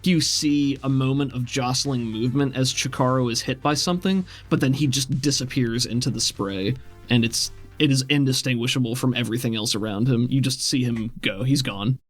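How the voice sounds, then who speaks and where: A man narrates a tabletop role-playing game as its game master, with a medium-pitched voice. He paints scenes in a descriptive, cinematic way, with some music behind him. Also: moderate pace.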